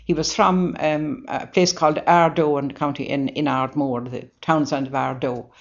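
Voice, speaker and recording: An older Irish woman, a nun, recalling her life in an interview, earnest and halting with many restarts. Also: low-pitched, fairly even intonation, vocal fry. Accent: Irish, Waterford. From Ireland. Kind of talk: interview reminiscence. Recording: dull lo-fi recording.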